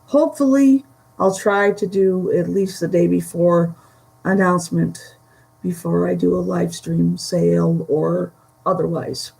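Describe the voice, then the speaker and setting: A woman from the Buffalo area with a Western New York Great Lakes accent, chatting slowly with many pauses on a live stream from home. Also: on the low side, fairly even intonation, mellow, rough recording. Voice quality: gravelly. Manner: slow, halting, many fillers. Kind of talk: casual live stream chat. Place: home room.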